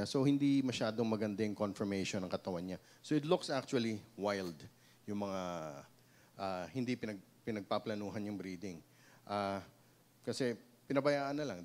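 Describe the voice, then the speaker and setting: A man in his thirties with a Filipino accent speaks into a handheld mic before a seated audience in a small seminar room. His voice sits a little low, and his talk is conversational, with restarts and a tag "no?" at the end of many points. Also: darkish tone. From Philippines. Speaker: seminar speaker, pig breeder. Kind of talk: seminar talk mixing English and Tagalog. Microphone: handheld microphone.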